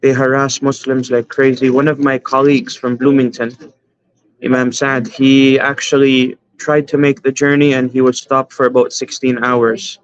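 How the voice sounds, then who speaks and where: Earnest man, flat voice, dull call audio. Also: medium pitch. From Canada. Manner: earnest, measured, with frequent fillers. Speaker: imam, guest. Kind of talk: interview answers. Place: home room, indoors. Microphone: video call.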